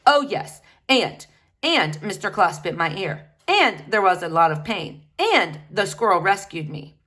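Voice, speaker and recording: A woman's voice: medium pitch, expressive, a little slow, a bit bright. Hapless storybook dad, mild and earnest, hesitant rambling delivery woman reader in role. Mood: befuddled, earnest. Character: mild, befuddled, hopeful father.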